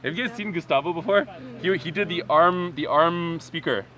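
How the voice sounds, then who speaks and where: A man hosting a lively group chat, playful, laughing often and imitating animal sounds. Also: fairly high pitch, normal speed, dull audio, background noise. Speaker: host.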